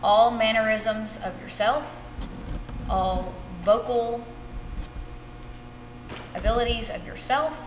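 Higher-voiced woman in an echoey theatre. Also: slow, long pauses. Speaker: high school theatre director. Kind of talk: earnest coaching talk and interview. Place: empty school theatre stage and auditorium. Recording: echoey auditorium, background noise.

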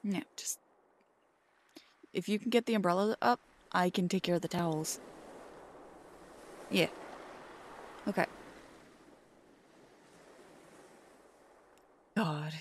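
Slow-talking, playful girlfriend acted by a woman. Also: on the low side, a little hiss or hum, lightly acted. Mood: relaxed. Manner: teasing, mock-complaining, drawn-out emphasis. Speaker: ASMR roleplay creator. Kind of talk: ASMR girlfriend roleplay, intimate casual chat.

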